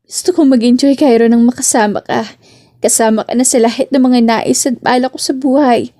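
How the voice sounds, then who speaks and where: Woman acting a high-pitched, emotional young woman in love. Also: fairly even intonation, fairly quick, mellow, close to an everyday voice. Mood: tearful, joyful. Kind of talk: audiobook romance drama acting.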